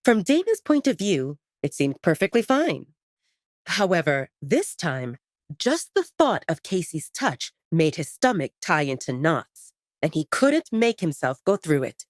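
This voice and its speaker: A woman's voice: on the low side, sing-song, a little slow, no room sound, studio quality. A woman reading scripted, dramatic romance fiction as an audiobook narrator.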